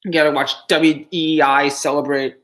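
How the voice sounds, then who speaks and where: A man from New Jersey on a live stream, answering chat questions in a halting, dejected way, with frequent stammered restarts and sighs. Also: fairly high pitch, level tone, a bit bright.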